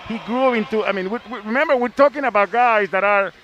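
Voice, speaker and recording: High-pitched male sports show host. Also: fast, dull audio. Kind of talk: live sports show discussion. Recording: faint background noise.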